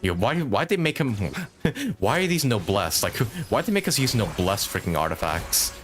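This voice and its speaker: A man's voice: on the low side, big pitch swings, moderate pace, a bit bright, faint music behind. A man live-streaming a video game with casual commentary, thinking aloud and chatting with his viewers.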